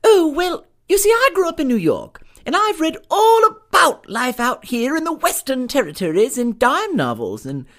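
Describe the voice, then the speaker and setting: A man playing an eager, naive gentleman newcomer from New York in a comic western audio drama, with flowery, formal, exclamatory speech. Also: very high voice, huge pitch swings, normal speed, hardly any pauses, full character voice. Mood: eager, proud.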